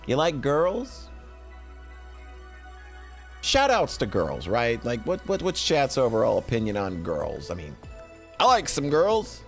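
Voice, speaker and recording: A man's voice: mid-range voice, huge pitch swings, takes it slowly, vocal fry, pauses often, soft background music. A middle-aged man chatting casually to a livestream, rambling playfully and often repeating himself. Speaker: streamer presenting a tier list. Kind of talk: casual livestream chat and commentary.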